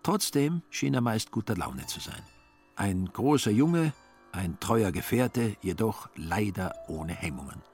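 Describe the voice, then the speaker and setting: A man narrating a literary radio play in a measured, dryly ironic way. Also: fairly low pitch, sing-song, darkish tone, faint music behind.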